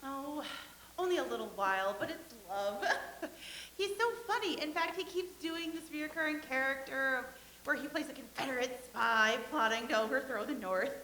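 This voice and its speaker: A woman's voice: high-pitched, animated, moderate pace, sharp tone, a little hiss or hum. A woman playing a vain, starry-eyed young stage actress who gushes naively in a staged play reading. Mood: dreamy. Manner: gushing and naive, with giggles. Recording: echoey room.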